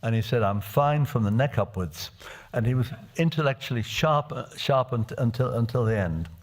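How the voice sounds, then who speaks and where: A man's voice: medium pitch, expressive. Elderly Czech-born male legislator, testimony speech in a chamber, mid-range voice, hesitant with restarts. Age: older. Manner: reflective, halting with many restarts. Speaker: parliamentarian. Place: assembly chamber.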